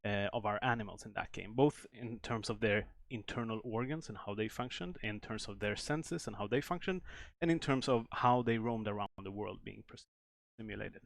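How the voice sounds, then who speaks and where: Game developer explaining. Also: male voice, lowish voice, normal speed, gravelly, dry recording. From Sweden. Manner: explains at length with many fillers and restarts. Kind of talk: stream interview.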